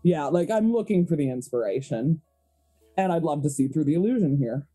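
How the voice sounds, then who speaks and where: A woman's voice: deep voice, lively intonation, a little fast, mellow, breathy edge, faint music behind. A woman playing a tabletop roleplaying game, improvising her character's scenes and chatting casually at the table.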